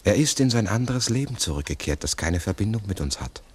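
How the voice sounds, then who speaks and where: An actor playing an earnest, philosophizing investigator in a 1960s German radio play, speaking in a deliberate, explanatory way. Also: male voice, on the low side, sing-song, husky. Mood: pensive. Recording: 1960s mono radio recording.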